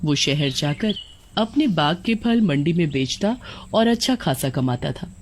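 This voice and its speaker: A woman's voice: low voice, animated, a little slow. A woman storyteller reading a scripted tale as narrator.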